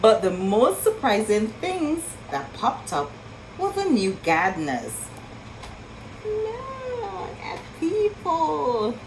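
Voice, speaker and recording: A woman reading a picture book aloud to children in an expressive storytelling style, with marvelling asides and questions to her young listeners. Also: sits high, slow, slight background noise, rough recording.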